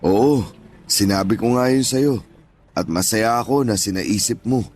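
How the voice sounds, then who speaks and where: A man's voice: fairly low pitch, unhurried, a little mellow, slight background noise, close to an everyday voice. An actor playing a kindly, hardworking older father and former street vendor in a Tagalog audio drama, with a Filipino accent and reassuring, plain-spoken manner. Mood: reassuring, earnest. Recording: music bed behind voice.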